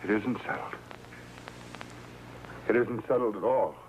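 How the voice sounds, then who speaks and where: A low-pitched, earnest employee played by a male actor in an old crime drama. He is halting and deferential, with a lovesick, pleading edge. The aged soundtrack carries light noise. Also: muffled recording, close to an everyday voice. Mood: bitter, insistent. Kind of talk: 1950s crime drama acting.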